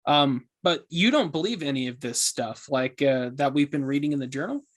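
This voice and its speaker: A man's voice: a little high, moderate pace, close to an everyday voice. A man playing an earnest podcast host in an audio drama, talking casually and a bit hesitantly. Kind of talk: casual scripted podcast interview.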